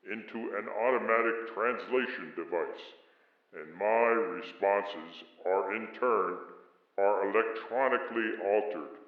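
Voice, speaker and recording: A man playing a towering alien envoy in a radio play, speaking in formal, solemn, measured phrases. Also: fairly low pitch, narrow, tinny audio. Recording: echoey, band-limited processed sound.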